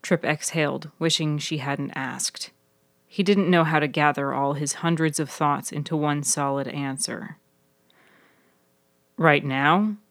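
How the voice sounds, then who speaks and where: Casting: female narrator for serial fiction, low pitch, very dry studio-like sound. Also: fairly even intonation, normal speed, vocal fry, no room sound. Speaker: author and narrator.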